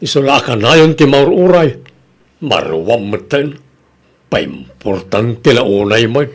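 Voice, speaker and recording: A man's voice: mid-range voice, sing-song, a little slow. A man acting in an Ilocano comedy radio drama as a nagging, reproachful relative who lectures on punctuality, with an Ilocano accent. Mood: grumbling.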